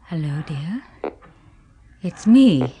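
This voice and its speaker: An actress playing a doting, quietly possessive British wife with a genteel, cooing manner in a 1970s radio horror drama. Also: female voice, low voice, big pitch swings, husky, full character voice. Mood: tender, solicitous. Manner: genteel, deliberate, cooing endearments. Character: softly doting, quietly possessive wife of middle age or older. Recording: reverberant, noisy old radio recording.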